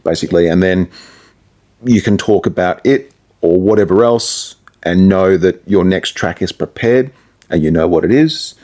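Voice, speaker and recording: Low-pitched man, casual radio talker. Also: moderate pace, a little mellow. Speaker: radio presenter and DJ, interview guest. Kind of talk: casual interview chat, giving advice.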